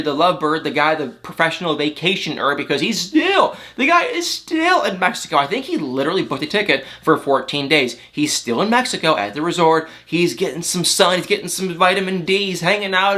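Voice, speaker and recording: A man's voice: fairly high pitch, sharp tone. A man hosting a reality TV recap, chatting in a gossipy, exasperated and dramatic way. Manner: exaggerated, exasperated, dramatic retelling with mimicked dialogue. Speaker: host of a reality TV recap channel.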